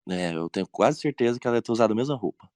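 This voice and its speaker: A man's voice: on the low side, expressive, racing, darkish tone. A Brazilian man chatting casually and in slang as a panelist in a roundtable. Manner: informal, slangy. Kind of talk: casual chat.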